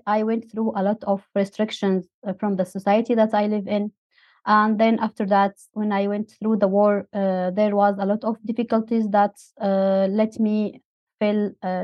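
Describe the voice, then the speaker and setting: Syrian woman in her thirties, halting English. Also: mid-range voice, no echo, highs cut off. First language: Arabic. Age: 30s. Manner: hesitant, many uh fillers, non-native phrasing. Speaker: guest. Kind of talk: interview storytelling.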